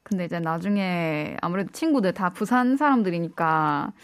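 A woman's voice: on the low side, expressive, moderate pace. Chinese woman with a Busan lilt. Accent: Chinese, with a Busan Korean lilt. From China. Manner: modest, hesitant, with short agreeing replies. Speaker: guest singer. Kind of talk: casual interview.

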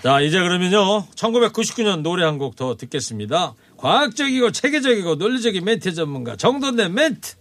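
Lively high-voiced 60-something male host. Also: no room sound. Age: 60s. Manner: playful, teasing, brisk. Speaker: radio host. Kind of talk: lively radio chat. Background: music bed.

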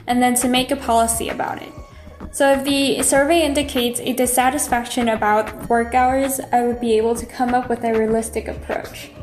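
A woman's voice: on the high side, little pitch movement, brisk, over music. A woman from Taiwan giving a thoughtful, practical prepared answer. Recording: echoey room.

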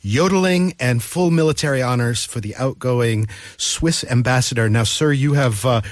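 A man's voice: mid-range voice, moderate pace, no echo, studio quality. Articulate male interview host. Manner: articulate, eloquent phrasing. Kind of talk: interview hosting, casual and polished.

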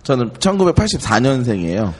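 A man's voice: mid-range voice, sing-song, quick pace, a little mellow, in a large hall. A man in his thirties, a ministry staff guest, recounting history hesitantly with many fillers. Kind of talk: casual storytelling.

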